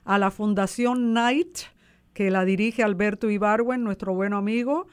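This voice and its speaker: A woman's voice: mid-range voice, fairly even intonation, measured pace, clear, resonant tone. A woman who founded an arts organization, speaking as an interview guest and explaining things. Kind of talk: promotional interview talk, explanatory.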